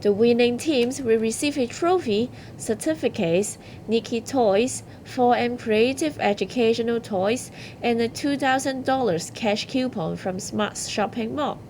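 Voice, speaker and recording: A woman's voice: on the high side, a little slow, hardly any pauses, a little mellow, slight background noise, rough recording. A woman hosting an awards ceremony, reading scripted announcements.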